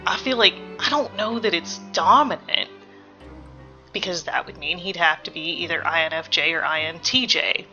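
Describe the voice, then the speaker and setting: Casting: female reaction host, bright edge, analytical, sweary, casual, slightly dull lo-fi recording, faint music. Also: on the low side, normal speed. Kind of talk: casual game reaction commentary. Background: faint game music.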